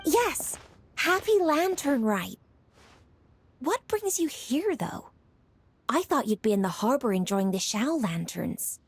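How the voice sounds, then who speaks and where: A woman's voice: sits high, very animated, slow pace, husky, no room sound, exaggerated voice. A woman playing a serious, businesslike young female official in a video game, speaking precisely and matter-of-factly. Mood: pleasantly surprised.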